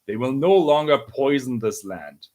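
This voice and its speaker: Man as kindly villager, earnest, reassuring, hopeful, expressive pitch, manga read-aloud. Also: moderate pace, close to an everyday voice. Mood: reassuring, fervent.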